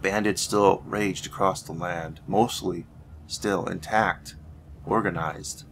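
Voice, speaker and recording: A man's voice: deep voice, steady tone, slow, gravelly, noisy background. A man hosting a gaming series, talking informally as he explains his roleplay playthrough and tells its story. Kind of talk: roleplay let's play commentary with story narration.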